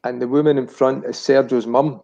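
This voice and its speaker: A man's voice: mid-range voice, steady tone, moderate pace, gravelly, warm tone. A Scottish man of about sixty reminiscing casually as a chat show guest, rambling and searching for words. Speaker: chat show guest, family business director.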